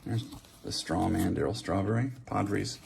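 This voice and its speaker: Low, gravelly man chatting casually. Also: measured pace, a little mellow. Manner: offhand, reading out names and musing aloud. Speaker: host of a trading card opening channel. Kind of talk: casual running commentary while opening card packs. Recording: background hiss, handling clicks and card shuffling.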